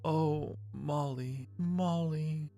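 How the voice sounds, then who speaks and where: Worried, tender game companion performed by a man, pitched up high, halting and distressed, music behind. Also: fairly even intonation, creaky, warm tone, full character voice.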